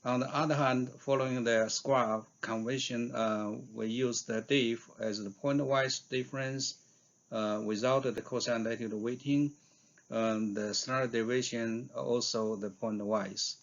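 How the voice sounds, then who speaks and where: A man giving a scientific conference talk in a halting way, with frequent hesitations and fillers. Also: mid-range voice, fairly even intonation, dull audio. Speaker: researcher giving invited talk.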